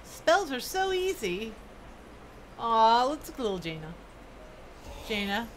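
A woman's voice: a little high, sing-song, slow, slight background noise, low-quality recording. A woman streamer giving casual, chatty live commentary on a video game, reacting aloud and reading bits of quest text.

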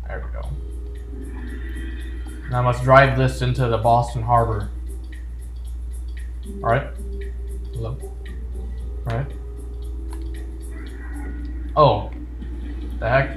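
A man's voice: mid-range voice, takes it slowly, pauses often, a bit bright, echoey room, slight background noise, poor audio. A man giving casual video game commentary with jokey, playfully sarcastic asides. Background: video game music.

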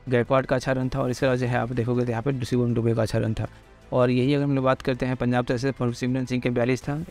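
A man presenting a cricket pitch report, reading off wickets and runs from past matches in loose, informal speech padded with fillers. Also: darkish tone. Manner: rattles off figures with constant fillers. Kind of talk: fast informal cricket stats rundown.

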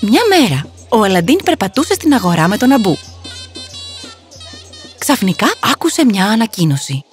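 A woman's voice: medium pitch, sing-song, long pauses, faint music behind. A woman narrating a scripted fairy tale for children.

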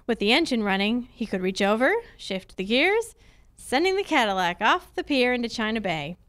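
A woman's voice: on the high side, sing-song, moderate pace, a bit bright. A woman playing a confident, wry female private detective in an old-time radio drama, reading from a script on stage. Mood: confident, explaining. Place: on a stage, live performance. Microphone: handheld-style microphone on a stand.

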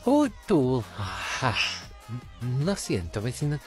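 Short, smug puppet brother played by a man, fandub drama, mid-pitched and animated, sly mock politeness. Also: takes it slowly. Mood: falsely polite. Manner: mocking, giggly, hesitant politeness. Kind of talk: amateur Spanish fandub roleplay acting. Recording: music under the voice.

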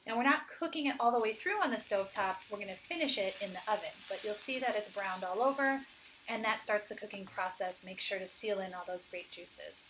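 A woman's voice: mid-range voice, fairly quick, fairly bright tone, a little hiss or hum, rough recording. A woman in her forties, a chef, giving a friendly, upbeat cooking demonstration and explaining each step plainly. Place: home kitchen, indoors.

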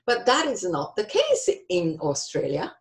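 A middle-aged woman with a Japanese accent presents a workshop over a video call. She speaks slowly and carefully in a mid-range voice, pausing with ums and restarting phrases as she goes. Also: mid-range voice, wildly swooping pitch. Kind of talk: online workshop talk with slides.

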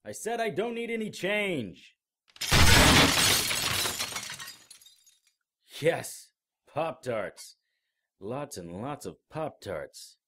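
An actor playing a wisecracking, unflappable paranormal investigator in an audio drama, with a dry, sarcastic delivery. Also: male voice, a little high, very animated, slow, slight background noise. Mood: calling out. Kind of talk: audio drama dialogue and first-person noir narration.

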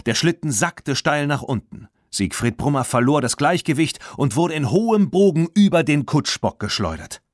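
A man narrating a children's audiobook in an expressive, dramatic storytelling manner. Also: on the high side, moderate pace, hardly any pauses, no room sound, clean studio sound.

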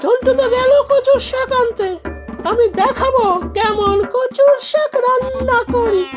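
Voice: extremely high pitch, a little slow. An actor voicing a boastful, fussy old village uncle in a cartoon, exclaiming theatrically. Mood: boastful, teasing. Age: older. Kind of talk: animated cartoon dialogue. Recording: music behind the voice.

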